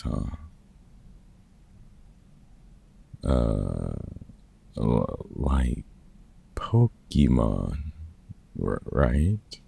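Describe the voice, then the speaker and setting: A man playing a gruff, wry older vampire hunter in an ASMR roleplay, a fatherly mentor with a very gravelly, husky voice and dry, teasing delivery. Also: a bass voice, dark tone, exaggerated voice. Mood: wry, supportive. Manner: dry, teasing, hesitant with unfamiliar slang. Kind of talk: ASMR roleplay audio drama, one-sided conversation.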